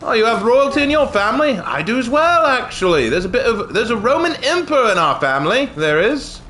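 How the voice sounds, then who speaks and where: A man's voice: very high voice, big pitch swings, a little fast, sharp tone, slight background noise, pitched above the performer's own voice, heavily acted. A man putting on a silly, cheeky, theatrical British accent as a chummy gamer lad, chatting casually on a game live stream. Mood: playful, animated. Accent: put-on British.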